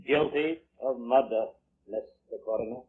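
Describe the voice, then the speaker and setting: A man's voice: mid-range voice, moderate pace, slightly rough. An actor playing a solemn murdered Scottish king, speaking in a stately, archaic manner in 1940s radio drama style. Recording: tinny vintage radio recording.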